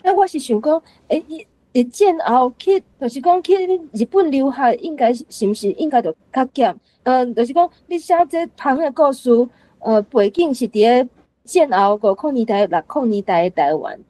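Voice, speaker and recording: High, mellow Taiwanese woman, closely recorded. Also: lively intonation, normal speed, dry recording, low-quality recording. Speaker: reading-club panelist posing questions. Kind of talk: book discussion.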